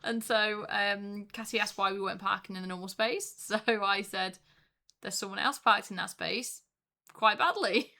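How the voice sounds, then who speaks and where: A woman co-hosting a casual podcast, chatty and quick to laugh, with lots of fillers. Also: mid-range voice, vocal fry, bright, forceful tone. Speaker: podcast co-host. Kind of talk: casual chat.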